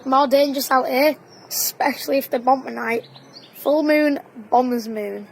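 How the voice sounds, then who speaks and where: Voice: high-pitched, steady tone, moderate pace, vocal fry, a little hiss or hum, rough recording. An actor playing a cocky, teasing wartime boy in an audio drama, talking in clipped, colloquial phrases. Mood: daring. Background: birdsong.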